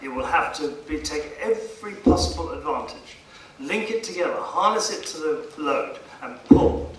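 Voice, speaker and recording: A man delivering a formal public speech as a guest speaker, emphatic and rhetorical, pausing for effect. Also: fairly high pitch, lively intonation. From India. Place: conference hall, addressing a seated audience. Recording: echoey hall, distant mic, faint noise.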